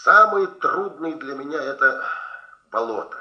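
An actor playing a brooding, obsessive middle-aged painter in a radio drama, speaking in emphatic broken phrases. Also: male voice, a little high, lively intonation, measured pace, roomy sound, muffled recording. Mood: tormented. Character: brooding, obsessive painter, bantering old friend of middle age. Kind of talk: Soviet radio drama acting.

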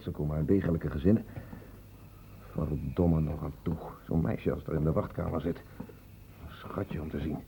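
A weary, bitter hospital doctor in a radio play. His voice is extremely deep and gravelly. The old recording has a faint noise under it. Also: warm tone, rough recording. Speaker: actor in a radio drama. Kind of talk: Dutch radio play acting.

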